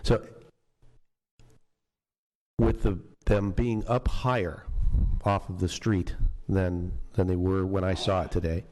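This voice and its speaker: Deep, dark-voiced council member. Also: male voice, flat delivery, takes it slowly. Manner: rambling, anecdotal, with fillers. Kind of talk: questioning at public meeting. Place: council chamber.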